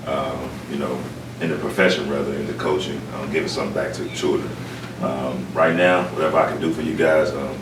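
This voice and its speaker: A man, a football coach, introducing himself haltingly, with many ums. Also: very deep voice. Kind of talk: self-introduction to a room. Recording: roomy, distant, noisy room recording.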